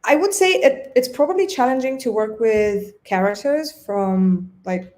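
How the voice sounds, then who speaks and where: A woman's voice: on the high side, lively intonation, measured pace, darkish tone. Bulgarian woman talking over a video call. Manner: thoughtful, with frequent restarts. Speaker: guest designer. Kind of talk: casual interview chat.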